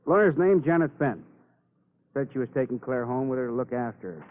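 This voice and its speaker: A man's voice: medium pitch, lively intonation, brisk, narrow, tinny audio, natural voice. Man playing a wry police detective, thin radio sound. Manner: clipped, wry, matter-of-fact. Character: dry, wry male police detective reporting to colleague. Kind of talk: old-time radio crime drama acting. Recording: thin, band-limited old radio recording.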